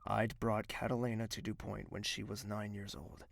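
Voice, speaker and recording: An actor playing a remorseful, lovesick young nobleman in an audio drama, with a grave, earnest delivery and a gravelly voice. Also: fairly low pitch, little pitch movement, moderate pace, no room sound, studio quality. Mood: somber, guilty.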